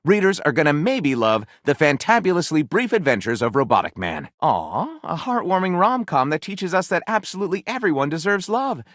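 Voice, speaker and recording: Swooping, theatrical wisecracking author. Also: male voice, mid-range voice, a bit bright, dry recording, clean studio sound. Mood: wry, upbeat. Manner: dramatic, wry, punchy delivery with flippant asides. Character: excitable, sardonic pulp-fiction author, flamboyant and self-pleased. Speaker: video game voice actor. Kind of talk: theatrical comedic game dialogue, mock-literary narrating.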